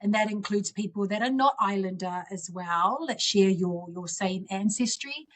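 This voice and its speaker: A woman in her forties who grew up in Samoa speaks as a political guest in a mid-range voice. She talks earnestly and reflectively, dwelling warmly on community values. Her pace is unhurried and conversational. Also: medium pitch, a little hiss or hum. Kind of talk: conversational interview answers.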